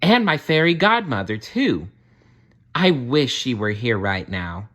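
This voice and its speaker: A man voicing a sweet, modest young princess-to-be from a storybook, gentle and earnest. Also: medium pitch, big pitch swings, measured pace, voice pushed up.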